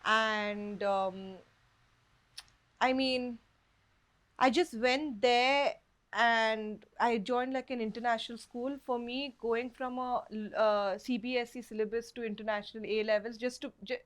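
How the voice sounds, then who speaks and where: A woman's voice: on the high side, fairly even intonation, measured pace. A young woman from Karnataka with a South Indian Kannada accent, chatting casually as a podcast guest and filling her talk with "like" and "you know". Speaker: guest photographer.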